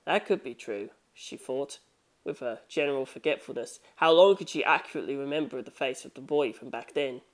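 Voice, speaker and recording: A man's voice: medium pitch, a little fast. Man narrating a story. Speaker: audiobook narrator.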